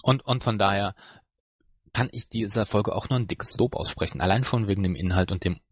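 A man's voice: lowish voice, brisk, breathy edge. A man casually discussing and reviewing as a podcast co-host, hesitant and searching for words.

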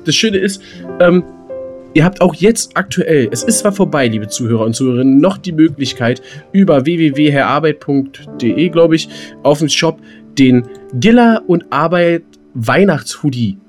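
A man's voice: a little high, animated, normal speed, soft background music. A man co-hosting a podcast in casual, colloquial banter, silly and given to mock grumbling. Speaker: podcast co-host.

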